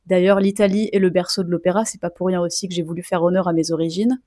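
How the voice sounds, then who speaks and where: A woman's voice: on the low side, flat delivery, fast talker, warm tone, no echo, clean studio sound. A young woman, a mezzo-soprano student, telling a personal story to camera in a chatty way with lots of asides and fillers. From Sicilian heritage.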